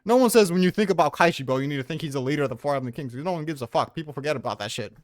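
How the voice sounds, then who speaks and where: A man's voice: on the high side, very fast, no echo, studio quality. A man debating casually, argumentative and loosely profane as he makes his case. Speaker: debater on a manga ranking podcast.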